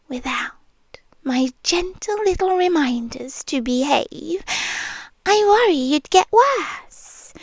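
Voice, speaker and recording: Brief: British woman as a creepy-sweet obsessive nurse, high voice, very slow whispered delivery, close and dry. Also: no echo, exaggerated voice. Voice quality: soft, breathy whispering. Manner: slow, teasing, sweetly controlling. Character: obsessive possessive young female nurse. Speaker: ASMR roleplay performer. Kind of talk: F4M ASMR roleplay. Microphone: close mic, speaking into the ear.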